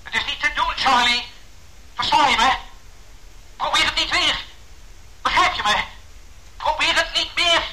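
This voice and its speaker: Tense airman, bright forceful voice. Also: very high voice, unhurried, pauses often, full character voice, rough recording. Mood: panicked. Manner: urgent, alarmed exclamations. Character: desperate male aircrew member in a doomed plane. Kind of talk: radio drama acting.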